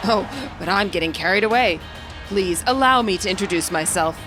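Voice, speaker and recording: A woman's voice: medium pitch, brisk, over music, pitched below the performer's own voice, lightly acted, poor audio. A woman voicing a male business chairman giving a formal, pompous and welcoming public speech, as in a game story. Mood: grand, welcoming. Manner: formal, showy public-speaker delivery.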